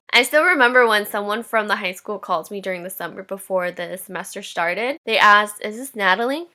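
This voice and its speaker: A woman's voice: medium pitch, sharp tone. A woman in her twenties hosting a personal podcast, telling her own story in a candid, reflective way. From Indonesia. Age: 20s. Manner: candid and reflective, with light laughs. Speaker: podcast host.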